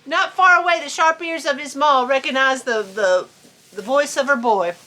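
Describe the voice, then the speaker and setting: A woman with a high, bright voice reads a children's story aloud. Her delivery is folksy and playful, and she slips easily between narration and casual chat. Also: moderate pace, poor audio. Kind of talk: animated storybook reading with casual chatty asides.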